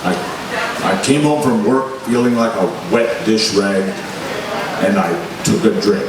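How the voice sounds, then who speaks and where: A man's voice: lowish voice, takes it slowly, a crowd in the background. A man playing a worn-out, exasperated newspaper boss in old-time radio drama style, with theatrical, emphatic delivery. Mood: agitated, confiding. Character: blustery, frazzled, worn-out male newspaper boss. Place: live stage recreation at a festival. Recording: echoey hall, distant mic, noisy.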